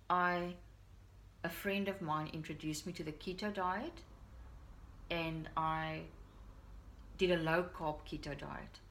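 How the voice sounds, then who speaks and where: A woman's voice: fairly low pitch, fairly bright tone. A middle-aged woman with a Dutch accent talking earnestly to the camera in her living room as a parent vlogger. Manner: earnest, heartfelt, confiding. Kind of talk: personal talk to camera. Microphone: camera on a stand at a short distance.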